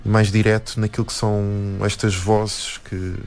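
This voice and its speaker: A man with a European Portuguese accent, a musician and anthropologist talking reflectively in an interview, pausing and restarting often. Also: on the low side, little pitch movement, faint music behind. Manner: hesitant, many restarts and fillers.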